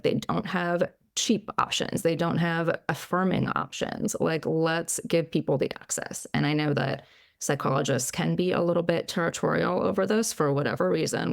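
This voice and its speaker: A woman in her thirties talks casually as a psychologist guest, packing her speech with "like" and "you know." Her voice carries plenty of vocal fry, and the recording is close and dry with no room sound. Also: sits low, no room sound. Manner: chatty, frequent "like" and "you know" fillers. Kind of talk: casual interview conversation.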